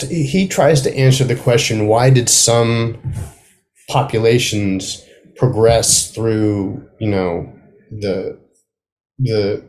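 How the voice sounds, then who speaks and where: Man talking thoughtfully in halting phrases. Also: lowish voice, darkish tone. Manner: halting, thoughtful, trailing off mid-sentence. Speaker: playwright, interview guest. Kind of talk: casual conversational interview. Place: remote video call.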